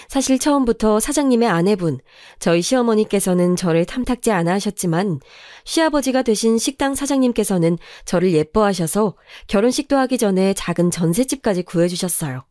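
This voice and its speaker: A woman reading a first-person life story aloud in a calm, even storytelling manner. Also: medium pitch, no room sound, studio quality. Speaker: story narrator.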